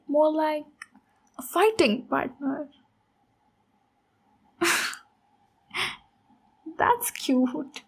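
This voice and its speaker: A woman playing a big, friendly female ogre warrior in an ASMR roleplay, speaking gently and encouragingly as a mentor. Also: high voice. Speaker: ASMR voice actor. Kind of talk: ASMR roleplay, slow intimate monologue.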